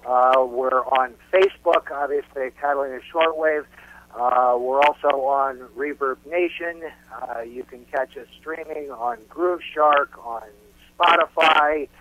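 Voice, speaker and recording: A man's voice: medium pitch, measured pace. A man speaking as a musician guest in a casual radio interview, emphatic and often repeating his phrases for weight. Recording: dull, noisy phone-like radio line.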